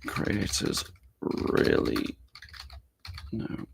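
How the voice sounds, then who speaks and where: A man's voice: extremely slow, background noise. A young man live-streaming, chatting casually with his viewers and thinking aloud as he works on screen.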